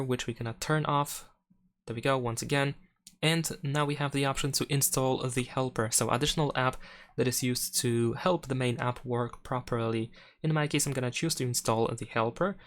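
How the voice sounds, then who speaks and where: A man's voice: mid-range voice, fairly bright tone, slight background noise. A man presenting a step-by-step phone tutorial in an instructional, explanatory way.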